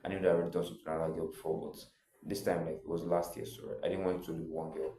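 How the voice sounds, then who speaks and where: A man's voice: deep voice, level tone, very fast, dark tone, roomy sound, slight background noise. A young adult man, telling a personal story in a casual, rambling way full of filler words. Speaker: vlogger.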